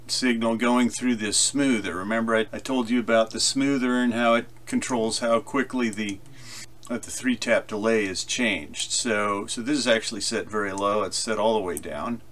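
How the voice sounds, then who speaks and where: Low-voiced man giving a casual tutorial. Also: steady tone. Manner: informal, halting, thinking aloud. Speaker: tutorial presenter. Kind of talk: casual software tutorial talk, explaining as he demonstrates. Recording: loud guitar effects music over voice. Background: loud electric guitar with delay effects.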